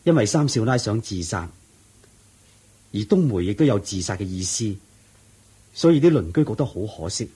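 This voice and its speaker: A man's voice: fairly low pitch, big pitch swings, normal speed, pauses often, dark tone, slightly rough, exaggerated voice. An actor playing a devoted male disciple in a radio drama, speaking earnestly and respectfully. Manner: earnest, respectful, explaining at length. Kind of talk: 1980s-style radio drama acting.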